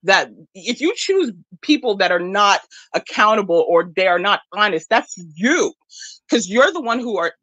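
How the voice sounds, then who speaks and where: A woman's voice: medium pitch, sing-song, normal speed, a bit bright, poor audio. A woman caller, born in Nigeria and raised in the United States, with an American accent, insistently repeating her point in a debate. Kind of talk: phone-in debate.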